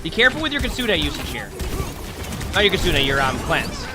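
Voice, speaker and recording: High, lively slightly hoarse man coaching. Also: brisk, over music. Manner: direct, talks the player through mistakes. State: slightly hoarse, under the weather. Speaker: streamer coaching a player. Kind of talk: live-stream gameplay coaching commentary.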